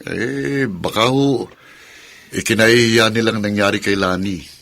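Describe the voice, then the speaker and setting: A protective older male cousin with a low, forceful Filipino voice. He is earnest and sympathetic, in the manner of a radio drama. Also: a little slow, creaky. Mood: resigned. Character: protective, consoling older cousin, indignant on her behalf.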